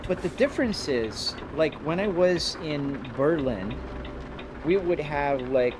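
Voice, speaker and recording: A man's voice: a little high, expressive, slow, noisy background. A Brazilian man whose first language is Portuguese, chatting casually with viewers on a live stream while driving a truck sim, greeting people by name. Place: home sim rig.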